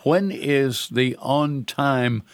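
A man's voice: medium pitch, extremely slow, no echo. An older man hosting a talk radio show, earnest and folksy in a conversational way.

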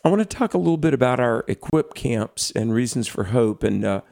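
A hoarse man interviewing a guest for a ministry program, asking eager questions. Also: medium pitch, lively intonation, brisk, darkish tone.

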